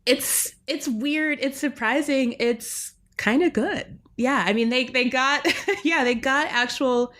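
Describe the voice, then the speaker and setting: A woman's voice: sits high, takes it slowly, fairly bright tone. A woman linguistics professor talking as a podcast guest in a reflective, academic way, often checking in with "right?". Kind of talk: academic interview talk.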